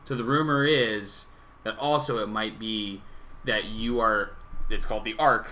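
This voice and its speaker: A man hosting a podcast, explaining theories in casual gaming chat with animated delivery and some swearing. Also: on the low side, measured pace, slight background noise. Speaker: podcast host.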